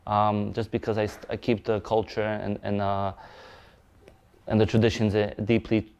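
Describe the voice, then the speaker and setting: A young man from Poland with a Polish accent, a police officer and boxing manager, speaking in a halting, earnest way with many restarts in a documentary interview. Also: on the low side, monotone, darkish tone, smooth, highs cut off.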